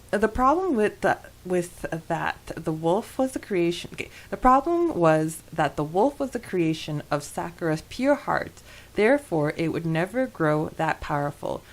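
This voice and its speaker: A woman co-hosting a podcast in a casual, chatty and enthusiastic way about a book. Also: lowish voice. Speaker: podcast co-host.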